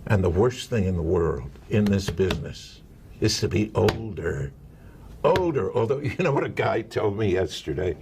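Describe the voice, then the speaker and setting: An older man with a low, gravelly voice, a longtime broadcaster swapping stories as a guest. He is chatty and quick to joke at himself. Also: expressive, noisy background. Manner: chatty, joking storyteller. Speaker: interview guest, veteran broadcaster. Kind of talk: casual interview chat.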